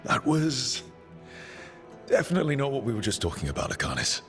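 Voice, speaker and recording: An actor playing an easygoing young rebel hero in a fantasy game, speaking in a casual, reassuring way. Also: male voice, mid-range voice, sing-song, brisk, a bit bright, faint music behind. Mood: teasing. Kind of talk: game dialogue acting.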